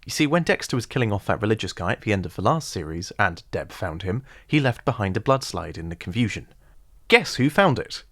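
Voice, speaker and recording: A man with an English RP accent narrating a scripted video essay in a wry, sarcastic, animated way. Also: lowish voice, fairly quick.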